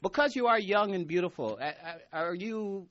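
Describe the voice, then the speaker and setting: A man's voice: high-pitched, moderate pace, highs cut off. A man hosting a casual radio interview, teasing and playful, laughing often. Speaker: radio host.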